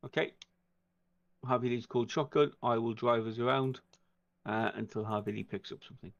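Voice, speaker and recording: A man's voice: medium pitch, fairly even intonation, a little fast, no echo, muffled recording. A man chatting casually as a player in a tabletop roleplaying game.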